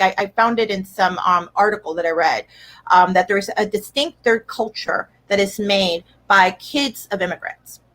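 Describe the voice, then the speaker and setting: A woman speaks as a guest in a casual interview. Her voice sits at an ordinary middle pitch. She thinks aloud, hesitating often with "um" as she works through her points. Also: a bit bright. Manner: thoughtful, hesitant with frequent "um"s. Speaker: guest filmmaker.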